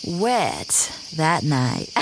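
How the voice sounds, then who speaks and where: A woman's voice: lowish voice, gravelly, exaggerated voice. A woman playing a brash, breezy seasoned expedition camper in an audio drama, blunt and teasing. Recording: insect-filled jungle noise behind.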